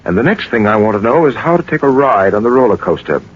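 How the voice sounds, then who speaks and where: An actor playing a shrewd, commanding gentleman detective in a 1940s radio drama, speaking in a brisk, probing, authoritative way. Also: lowish voice, sing-song, breathy edge. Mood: probing, composed. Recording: noisy, muffled 1940s radio recording.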